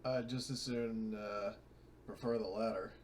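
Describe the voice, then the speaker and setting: A man playing a dropship pilot in a tabletop roleplaying game, reserved and deferential, quiet and distant. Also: lowish voice, fairly even intonation, a little slow.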